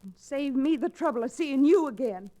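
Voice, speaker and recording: Spirited, high-voiced ranch daughter. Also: female voice, lively intonation, normal speed. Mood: scornful. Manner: sharp-tongued, dramatic. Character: bitter, spirited rancher's grieving young daughter. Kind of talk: old-time radio western acting. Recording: restored vintage radio drama recording.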